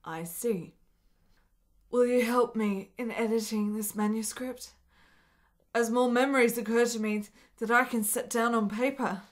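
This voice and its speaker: A woman's voice: a little high, steady tone, measured pace, natural voice. An actress playing a frail, lovesick, melancholy woman in a period radio drama, speaking in earnest, melodramatic, formal phrases. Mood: gentle, hopeful.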